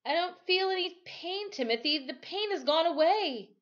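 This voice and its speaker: Woman voicing a frightened, high-pitched young boy. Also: lively intonation, normal speed, a bit bright, muffled recording. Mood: dazed.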